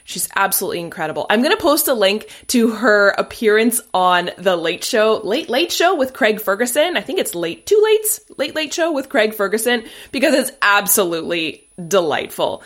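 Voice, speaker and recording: A woman's voice: mid-range voice, very animated, normal speed, few pauses, a bit bright. A woman hosting a podcast from her home studio, effusive and chatty as she introduces her guest. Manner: bubbly, effusive. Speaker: podcast host. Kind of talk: casual podcast interview.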